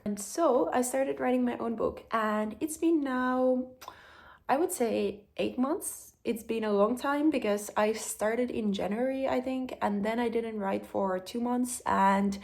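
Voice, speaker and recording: A woman's voice: on the high side, vocal fry, a little mellow. A young woman with a Finnish accent, speaking English as a second language, talking candidly and thoughtfully in a personal vlog, with frequent ums. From Finland. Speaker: vlogger. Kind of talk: reflective personal vlog talk.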